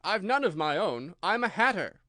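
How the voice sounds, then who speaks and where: A man's voice: high voice, very animated, moderate pace, pushed and bright, no echo, studio quality, pitched above the performer's own voice, full character voice. A man voicing a nervous, fidgety hat-maker who pleads in a trembling voice in a storybook reading. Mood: anxious.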